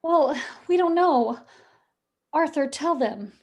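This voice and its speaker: A woman's voice: sits high, expressive, slow, darkish tone, exaggerated voice. A woman playing a sweet, fearful young bride in a radio play. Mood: frightened, pleading.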